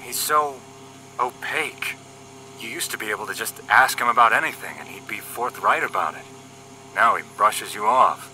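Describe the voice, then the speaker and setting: Man playing a sharp-voiced, cocky young agent. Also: lowish voice, expressive, moderate pace, slight background noise, poor audio. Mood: musing. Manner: flippant, bantering. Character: wisecracking, cocky young male paramilitary agent, teasing optimist. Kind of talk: scripted audio drama dialogue.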